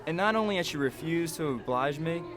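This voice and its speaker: A man's voice: a little high, animated, fairly quick, lightly acted. Man acting wry father, music behind. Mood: indignant. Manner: articulate, wry, formal phrasing. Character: middle-aged British father, wistful, proud, easily indignant. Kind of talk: radio drama acting, letter narration.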